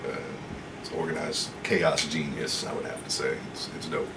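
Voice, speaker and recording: A man's voice: extremely low pitch, steady tone, normal speed, vocal fry. An American man, a guest on a radio interview, chatting informally in short remarks. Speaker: guest, hip-hop artist. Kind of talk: casual radio interview chat. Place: radio studio. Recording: noisy studio background.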